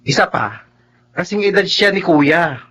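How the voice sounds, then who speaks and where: A cheeky young Filipino man in his twenties, the baby of the family in a radio drama. His voice is lightly high and very animated, always eager. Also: unhurried, heavily acted. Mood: eager, sly.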